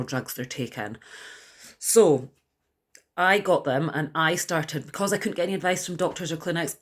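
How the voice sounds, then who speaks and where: Deep-voiced woman in her forties, chatty. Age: 40s. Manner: chatty and rambling, with many fillers and restarts. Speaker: wellness vlogger. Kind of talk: casual talk to camera.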